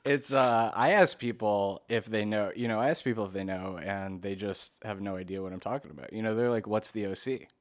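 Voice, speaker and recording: A man chatting casually and asking questions in an easygoing, halting way, often rephrasing as he goes. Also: lowish voice. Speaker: conversation partner asking questions. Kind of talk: casual chat.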